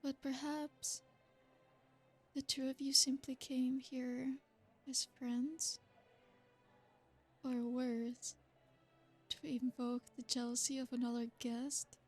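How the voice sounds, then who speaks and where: A woman voicing an ancient, possessive royal vampire in an ASMR roleplay. She speaks slowly and seductively, with soft whispered asides. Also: sits high, flat delivery, soft background music. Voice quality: breathy, soft-spoken. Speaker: ASMR creator.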